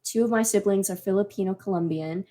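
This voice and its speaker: A young woman co-hosting a casual podcast. She talks in a lively, exclamatory way and drops the odd swear word, in a voice of middling pitch. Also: fast, a loud crowd. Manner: animated, exclaims and swears freely. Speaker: podcast co-host. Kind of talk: casual chat.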